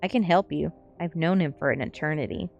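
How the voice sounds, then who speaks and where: A woman reading the part of a mysterious, smooth-talking young man, knowing and coaxing, in a storybook. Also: fairly quick, a little mellow, dull audio, soft background music. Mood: coaxing, inviting. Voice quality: said to be deep.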